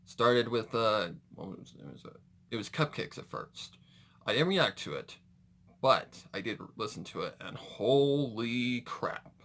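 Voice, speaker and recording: A man's voice: mid-range voice, big pitch swings, a little slow, a bit bright. A man hosting a reaction video with casual, rambling, exclamatory commentary.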